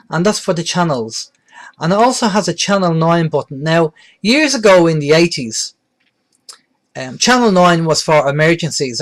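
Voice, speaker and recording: A middle-aged man presenting an informal, step-by-step beginners' tutorial in a plain-spoken, explanatory way. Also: on the high side.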